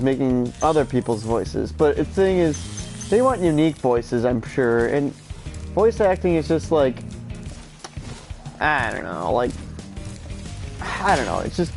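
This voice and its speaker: A man's voice: fairly high pitch, sing-song, takes it slowly, creaky, pauses often, a little mellow. Rambling, profane man in his thirties. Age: 30s. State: stuffy, short on sleep. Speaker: streamer. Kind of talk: casual gameplay chatter. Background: game music.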